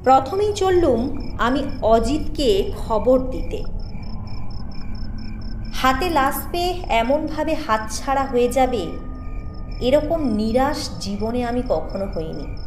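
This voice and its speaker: A woman with a high voice tells an eerie ghost story at a slow, deliberate pace. Her delivery is expressive, with dramatic pauses. The voice carries an echo over a music bed. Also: slight background noise. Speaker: audio story narrator.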